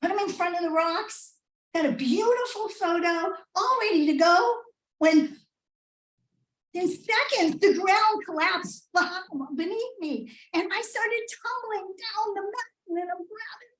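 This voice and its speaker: High-pitched American woman telling a tall tale. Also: fairly bright tone. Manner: theatrical, with dramatic pauses. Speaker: speech contestant. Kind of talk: rehearsed humorous storytelling speech. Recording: roomy, echoing live speech pickup.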